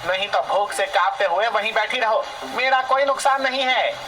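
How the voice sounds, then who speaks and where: A man's voice: sits high, normal speed, lightly acted, poor audio. A man voicing an irritable, greedy street-food vendor in a comic moral story, with a grumbling, scornful manner. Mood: wheedling, callous.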